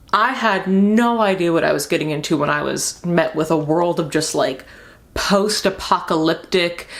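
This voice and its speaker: A young woman with a somewhat low-set voice talks through a review in a loose, rambling vlog style. She is candid and informal, often repeating words for emphasis. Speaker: book review vlogger. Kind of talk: casual rambling book review to camera.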